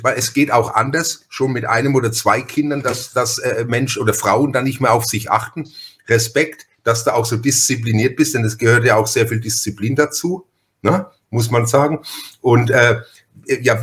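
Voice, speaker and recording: A man's voice: mid-range voice, fairly bright tone. Chatty Franconian man hosting a podcast. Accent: Franconian German. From Franconia, Germany. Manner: chatty, rambling. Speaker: podcast host. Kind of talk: casual interview chat.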